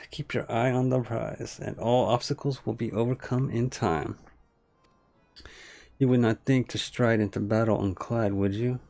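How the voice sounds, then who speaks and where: Man playing a grandiose heroic adventurer, gravelly voice. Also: mid-range voice, darkish tone, lightly acted. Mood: solemn, encouraging. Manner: grandiose, formal archaic phrasing. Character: earnest, lofty heroic male adventurer with grand ideals. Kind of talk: fantasy game dialogue read aloud.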